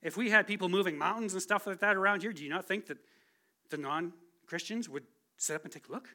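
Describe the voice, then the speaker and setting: A man's voice: high voice, fairly quick, bright, forceful tone. A man in his forties giving a casual, chatty testimony from the pulpit of a church, joking at his own expense. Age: 40s. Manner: casual, chatty, self-deprecating. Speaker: guest speaker. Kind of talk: conversational sermon testimony. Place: church sanctuary.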